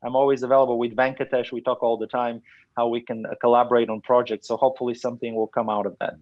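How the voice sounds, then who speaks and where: A man from Bulgaria speaking in a measured, thoughtful way as a panelist in an online webinar. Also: mid-range voice, flat delivery. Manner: measured, thoughtful, some restarts. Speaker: webinar panelist asking questions. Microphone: computer mic on video call. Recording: muffled lo-fi webinar audio.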